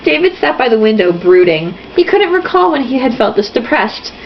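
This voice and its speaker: A woman's voice: a little high, sing-song, moderate pace. A woman reading a novel aloud in a casual, theatrical way, dropping in sarcastic, mocking asides. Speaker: host reading a novel aloud with jokey commentary. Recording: dull lo-fi sound, faint noise.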